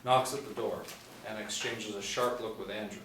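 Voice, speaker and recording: Young guy reading out script action lines, lowish voice, echoey room, faint noise. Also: fairly even intonation, a little fast, recorded from a distance. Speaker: narrator reading stage directions. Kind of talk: scripted narration at a table read. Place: small meeting room, seated in a row.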